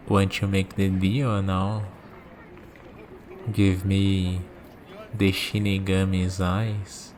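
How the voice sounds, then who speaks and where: A man playing a calm, cynical villain with godlike power in an ASMR roleplay, speaking in a deliberate, measured way with dramatic pauses. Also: low voice, slight background noise. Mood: composed, satisfied.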